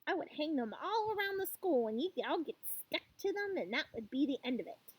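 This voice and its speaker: A woman's voice: high-pitched, sing-song, a little fast, voice pushed up. A woman voicing a furious, tyrannical headmistress who loathes children, haranguing and menacing, in a storybook reading. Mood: gloating disgust. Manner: haranguing, barking, grandly menacing.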